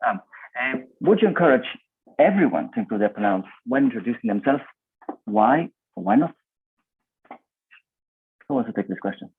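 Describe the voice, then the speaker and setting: Italian-accented male moderator, low husky voice, hesitant, tinny dry call audio. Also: expressive, normal speed, pauses often, dry recording. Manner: hesitant, many "um" and "uh", reads out questions. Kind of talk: moderating a webinar. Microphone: video call.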